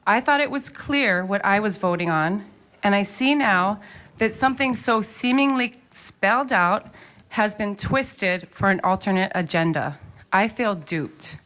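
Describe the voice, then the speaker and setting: A woman's voice: mid-range voice, unhurried, a bit bright. Pleading woman testifying at a hearing. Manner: pleading, pointed, urgent. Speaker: member of the public testifying. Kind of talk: formal public testimony.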